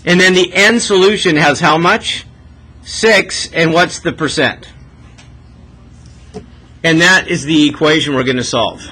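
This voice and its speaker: A man's voice: on the high side, a little slow, pauses often, pushed and bright, slightly rough. A man teaching math step by step in a conversational way, asking checking questions as he goes. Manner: conversational, often tags questions with "right?".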